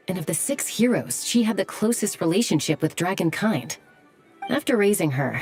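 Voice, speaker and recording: An actress playing a cool, self-assured tribeswoman in a video game, with a dry, unhurried storytelling manner. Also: low voice, a little mellow, close to an everyday voice. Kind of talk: video game dialogue acting.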